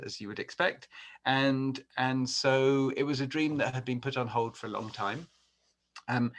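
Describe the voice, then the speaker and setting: A man's voice: medium pitch, a bit bright. A middle-aged man from England with an English accent, giving a conversational talk on a webinar with many fillers and restarts. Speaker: podcast host and webinar presenter.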